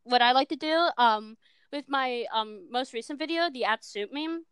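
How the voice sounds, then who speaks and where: A woman's voice: high voice, moderate pace, fairly bright tone, clear, resonant tone, no echo. A seventeen-year-old girl from Minnesota chatting as a guest about her animation work, on a cheap microphone, with lots of ums. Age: teens. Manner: chatty, filler-heavy, self-deprecating. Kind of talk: casual chat.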